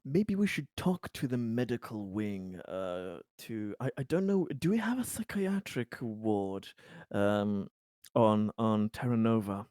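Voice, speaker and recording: A man improvising in character at a tabletop roleplaying game, politely and with a hesitant, halting delivery. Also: fairly low pitch, lively intonation, a little mellow, no room sound, studio quality.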